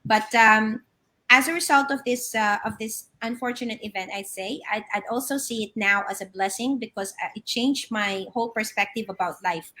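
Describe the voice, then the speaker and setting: Middle-aged woman from the Philippines, bright higher voice, video-call guest from a home office, chatty with restarts. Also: moderate pace. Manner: warm storytelling with frequent fillers and restarts. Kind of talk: live online interview.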